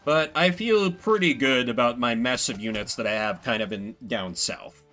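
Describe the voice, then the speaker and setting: A man's voice: medium pitch, normal speed, sharp tone, faint music behind. A man giving casual, wry and playful gameplay commentary as a streamer, swearing now and then. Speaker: video game streamer.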